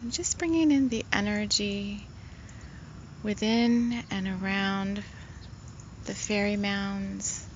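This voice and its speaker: Slow, soft-spoken woman healer. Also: mid-range voice, steady tone, muffled recording, a little hiss or hum. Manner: rambling, soft-spoken, many fillers. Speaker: spiritual healer. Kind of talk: casual spiritual talk.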